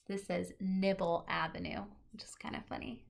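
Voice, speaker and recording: A woman's voice: mid-range voice, steady tone, normal speed, vocal fry. A woman teacher reading a children's nonfiction picture book aloud, expressively stressing key words and adding short explanatory asides.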